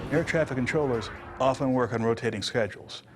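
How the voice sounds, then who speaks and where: A man's voice: medium pitch, fairly quick, a little hiss or hum. A man giving explanatory interview commentary as an expert, stressing points by repeating words.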